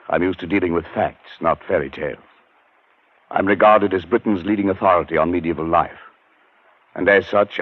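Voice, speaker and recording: An old-radio scientist, deep n husky, learned sceptic, muffled vintage recording. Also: male voice. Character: British scientist, learned, sceptical man now haunted. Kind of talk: 1940s radio drama acting.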